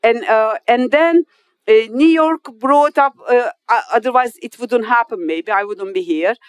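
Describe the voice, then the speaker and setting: Halting Turkish-accented woman, smooth voice. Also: on the high side, no echo, studio quality. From Turkey. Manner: halting, full of hesitations and restarts; non-native English grammar. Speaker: guest speaker at an inauguration. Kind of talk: formal speech to an audience.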